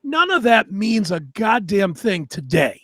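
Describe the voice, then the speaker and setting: A man's voice: sits high, big pitch swings, unhurried, a bit bright, dry recording, studio quality. A man co-hosting a talk show in a casual, profane way, blunt and opinionated. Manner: blunt, opinionated, swears freely.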